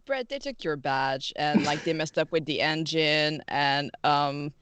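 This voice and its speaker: Woman playing a suspicious, excitable starship crew member. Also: low-pitched, clean tone, no echo. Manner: casual, slangy, halting.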